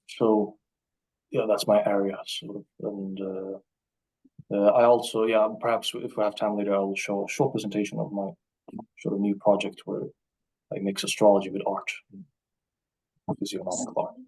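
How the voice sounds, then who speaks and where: A young man speaks in a low, husky voice with very little rise and fall. He introduces himself slowly over a video call, stopping often for fillers. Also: flat delivery, darkish tone, poor audio. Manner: slow, hesitant, many fillers. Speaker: astrology student. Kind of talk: casual self-introduction.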